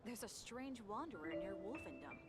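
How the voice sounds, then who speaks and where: A woman voicing a female video game character who passes on rumors, with loud music behind her. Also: medium pitch, sing-song, normal speed, close to an everyday voice. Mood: intriguing.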